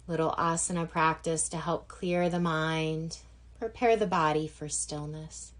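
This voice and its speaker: A woman with a fairly low voice leads a guided meditation. She speaks very slowly and gently, leaving long pauses between phrases as she gives relaxation instructions. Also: steady tone. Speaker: yoga nidra instructor.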